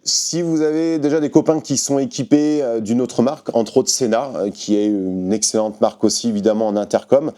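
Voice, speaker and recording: A man's voice: mid-range voice, a little fast, hardly any pauses, dark tone. A man casually explaining a product to viewers in an informal, hands-on way, as a shop presenter.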